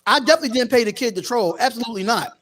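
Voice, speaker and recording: A man's voice: very high voice, animated, very fast, a bit bright. A man hosting a casual live sports call-in stream, talking in slangy, repetitive phrases. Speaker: host of a sports call-in show.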